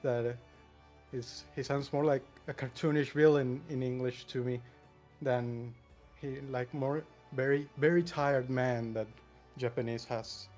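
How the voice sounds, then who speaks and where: A man's voice: mid-range voice, steady tone, measured pace, a little mellow, faint music behind. A man from Argentina with an Argentine accent, chatting casually on a panel, hesitant with many fillers and small laughs. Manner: hesitant, many uhs, laughs between phrases. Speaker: podcast panelist. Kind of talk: casual group chat.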